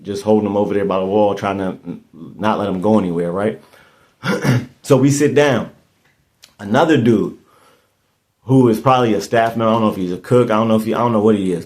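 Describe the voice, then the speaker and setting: A man's voice: fairly low pitch, darkish tone. A man, hosting a podcast and telling a story in casual chat, rambling and restarting his words often. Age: 30s. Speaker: podcast host.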